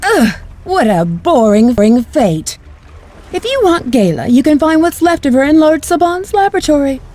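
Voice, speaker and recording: An actress playing a flirtatious, cruel mercenary villainess in a video game, taunting and gloating theatrically. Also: female voice, high voice, big pitch swings, normal speed, darkish tone. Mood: cruel delight.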